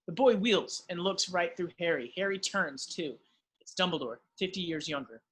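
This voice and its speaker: Bright, high-voiced man narrating a script. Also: animated, normal speed, slight background noise, poor audio. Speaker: narrator of stage directions. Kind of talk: scripted screenplay narration in an amateur table read.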